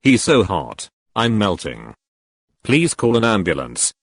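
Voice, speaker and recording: A man's voice: on the low side, wildly swooping pitch, a little slow. A man giving casual, playful gameplay commentary in a gushing, theatrical manner. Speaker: game streamer. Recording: game music behind the voice.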